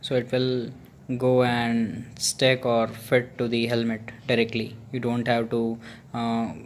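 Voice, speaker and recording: Indian-accented man over clear background noise. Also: medium pitch, monotone, slow. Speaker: video host, product reviewer. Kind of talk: casual unboxing narration.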